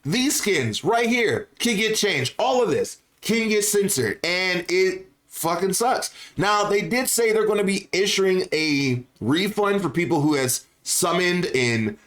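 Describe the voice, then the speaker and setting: A man's voice: high voice, lively intonation, a little slow, hardly any pauses, fairly bright tone. A man giving casual, opinionated gaming commentary to viewers, speaking in an informal, slangy way and repeating phrases for emphasis. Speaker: gaming YouTuber.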